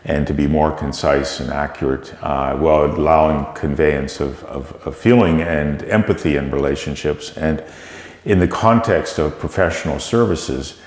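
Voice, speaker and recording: Very deep-voiced Canadian man. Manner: measured, explanatory, with frequent fillers and restarts. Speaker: tech company CEO guest. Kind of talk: conversational interview answers. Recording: echoey room with long reverb.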